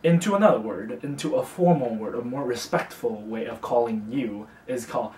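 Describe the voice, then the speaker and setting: A young man from China, teaching a casual beginner language lesson in a relaxed, chatty way, with fillers and slow, careful repetition of example words. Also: medium pitch. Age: young adult. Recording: roomy, distant mic.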